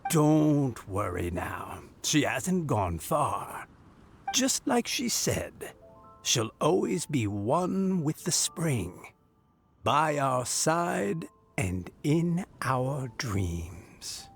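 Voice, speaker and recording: A man playing a kindly old grandfather in a game cutscene, gravelly and soothing. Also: mid-range voice, sing-song, faint music behind, full character voice. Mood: calm, bittersweet. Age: older. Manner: slow, soothing. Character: kindly, tired old grandfather, calm and comforting. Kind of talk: dramatic game cutscene acting.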